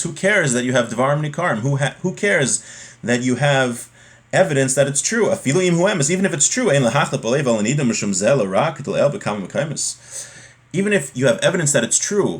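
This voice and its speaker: A man giving a text-study lesson as a religious teacher, reading passages and explaining them, often repeating and rephrasing his points. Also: mid-range voice.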